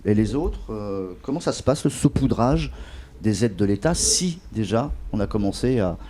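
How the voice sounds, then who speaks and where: Male interviewer, local current affairs. Lowish pitch, soft dark tone. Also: lively intonation, normal speed.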